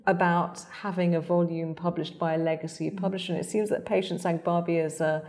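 A woman interviewer with a low-set, mellow voice. She asks her questions in articulate, measured phrases, pausing now and then on small fillers. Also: little pitch movement. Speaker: journalist and interviewer.

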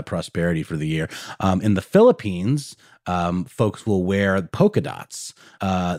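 A Southern American man with a lowish voice co-hosts a relaxed podcast, wandering through his points with plenty of ums and restarts. His voice is recorded close and dry, with no room sound. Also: no echo. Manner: rambling, lots of fillers and restarts. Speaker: podcast co-host. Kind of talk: casual podcast conversation.

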